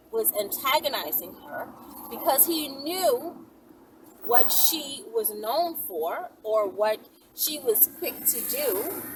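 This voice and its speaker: High-pitched woman, playful rambling storyteller, clear vehicle noise behind her, casual vlog. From the Bahamas. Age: 40s. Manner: chatty, playful, rambling with asides. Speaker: vlogger telling stories to viewers. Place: inside a moving vehicle. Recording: distant mic, vehicle noise.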